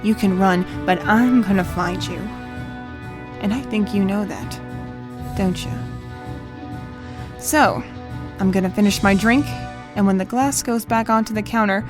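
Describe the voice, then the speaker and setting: A woman's voice: mid-range voice, natural voice. A woman voicing a bookish pony who dreams of writing novels, in an audio drama. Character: bookish filly pony, later a brash aspiring novelist. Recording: music behind the voice.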